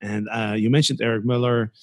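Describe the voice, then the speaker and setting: A man hosting a casual interview podcast, who works through figures out loud and restarts his phrases. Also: medium pitch, steady tone, fairly quick. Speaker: podcast host.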